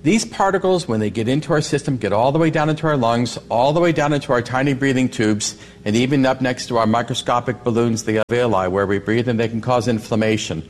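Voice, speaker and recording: A man's voice: mid-range voice, fast, a little hiss or hum. A man explaining health risks in an interview, vivid and explanatory. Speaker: medical expert.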